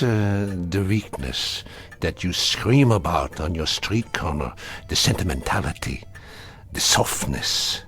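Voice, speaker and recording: An actor playing a gentle, weary older father figure in a radio drama, speaking in a measured, reflective way. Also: sits low, full character voice.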